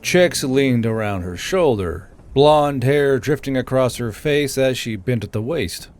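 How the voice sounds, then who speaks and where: A man's voice: mid-range voice, animated, unhurried, a little mellow. A man reading scripted fiction as an audiobook narrator.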